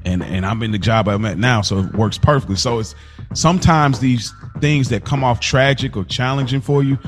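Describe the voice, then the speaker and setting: A man co-hosting a podcast in casual, slangy, informal talk, telling stories in an animated way. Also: medium pitch, moderate pace, soft background music. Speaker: podcast co-host. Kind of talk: casual chat.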